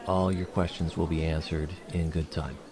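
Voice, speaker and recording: A man playing a courteous, diplomatic alien leader in an audio drama, speaking in a formal, measured and polite way. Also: very deep voice, flat delivery, darkish tone, some chatter behind. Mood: formal, assured. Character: courteous alien leader, large, diplomatic and smoothly persuasive male. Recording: noticeable background noise.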